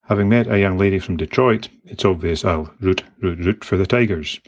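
A man's voice: low voice, moderate pace. A man reading a prepared self-introduction as a podcast co-host, in lo-fi sound. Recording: dull, lo-fi sound.

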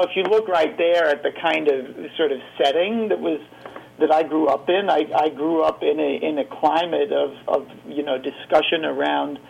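A middle-aged man giving reflective interview answers in a halting, rambling, self-deprecating way. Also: on the high side, vocal fry, darkish tone, husky, low-quality recording. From grew up in Geneva, Switzerland. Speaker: interview guest, documentary filmmaker.

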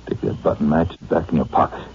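An American actor playing a curt, bullying thug who gives threatening orders in a 1950s radio drama. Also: male voice, rumbling low, steady tone, brisk. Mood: menacing. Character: menacing, bullying male thug and drug supplier. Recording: muffled, noisy old 1950s radio recording.

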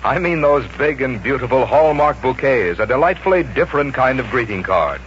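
Male radio announcer, animated polished sales read, 1940s style, dull old recording, faint music. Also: medium pitch, moderate pace, slight background noise. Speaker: announcer reading sponsor advertisement. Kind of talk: 1940s radio scripted advertising.

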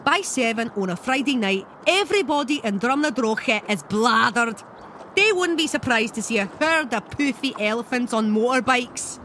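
A woman's voice: fairly high pitch, expressive, normal speed, a bit bright, a little hiss or hum. A woman playing a huge, grumpy female loch monster with a Scottish accent and salty, indignant slang in a comedy audio drama. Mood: feisty, dry. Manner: brash, salty, indignant slang-heavy talk. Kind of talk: audio fiction comedy acting.

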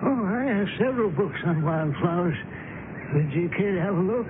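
An actor playing a gentle, courteous man in his nineties, a knowledgeable country gardener, in a radio drama. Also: sits high, expressive, normal speed. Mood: welcoming. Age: 90s. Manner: genteel, whimsical, knowledgeable. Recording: noisy, phone-like old radio broadcast.

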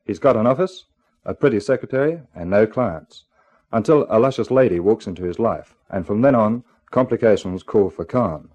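A man's voice: on the low side, expressive, normal speed, darkish tone. A man hosting a 1950s radio mystery, giving a scripted introduction. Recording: music under the voice.

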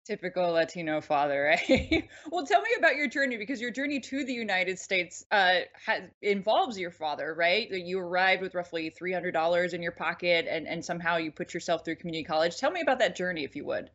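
A woman reporter hosts the interview in a somewhat low voice. She asks friendly, conversational questions. Her video-call audio sounds a bit dull. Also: lively intonation, fairly quick, few pauses, a bit bright. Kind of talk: hosted interview.

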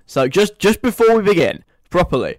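A man's voice: sits high, huge pitch swings, moderate pace, no room sound. A British man hosting a radio show in a casual, chatty, wry and self-deprecating conversational way.

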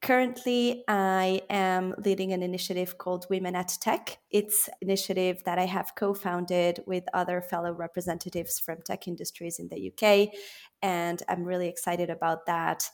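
A woman with a lowish voice answers interview questions on a podcast. She talks in a measured, deliberate way. Her tone stays earnest and thoughtful throughout. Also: fairly even intonation. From born in Switzerland, raised in Belgium. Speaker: podcast guest.

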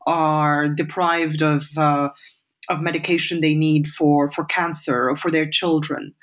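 A woman in her forties from Lebanon, speaking as an interview guest in a measured, reflective way with fillers and restarts. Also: sits low, level tone. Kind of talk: thoughtful remote interview answers.

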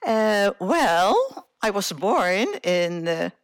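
A woman in her eighties, born in Indonesia, telling her life story as an interview guest, slowly and with many hesitations. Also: medium pitch, sing-song, smooth, dry recording, studio quality. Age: 80s. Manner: slow, hesitant, many filler sounds.

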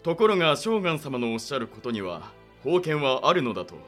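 Probing, animated samurai official. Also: male voice, mid-range voice, fairly quick, faint music behind. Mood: suspicious. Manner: formal, pressing, interrogating. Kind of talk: Japanese period audio drama acting.

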